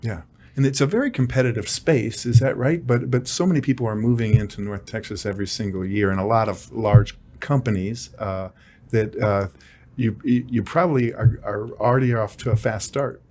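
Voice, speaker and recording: A middle-aged man hosting a broadcast interview show, upbeat, asking quick questions with frequent fillers. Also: lowish voice, a little mellow. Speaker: talk show host.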